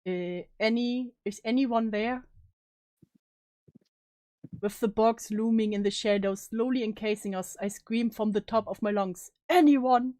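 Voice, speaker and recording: A woman's voice: mid-range voice, steady tone, measured pace, mellow, no room sound, clean studio sound, lightly acted. A woman playing a guilt-ridden, despairing young student in a solemn, melodramatic story reading. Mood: desperate, anguished. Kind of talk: dramatic reading of story narration.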